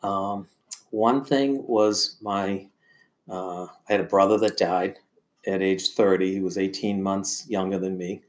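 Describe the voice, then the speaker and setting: An older man telling personal stories as a podcast guest, in a halting, rambling and earnest conversational way. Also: low voice, steady tone.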